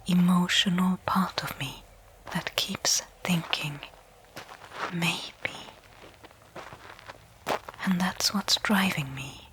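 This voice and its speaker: A woman's voice: fairly low pitch, noisy background. A woman playing a stranded space explorer, resigned yet hopeful, whispering a candid, conversational log in a sci-fi ASMR roleplay. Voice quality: soft whisper.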